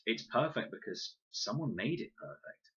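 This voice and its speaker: Man voicing an eager boy explorer, slightly husky. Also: mid-range voice, lively intonation, moderate pace, fairly bright tone, muffled recording, voice pushed up. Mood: hushed realisation. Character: Fred, earnest, curious boy explorer.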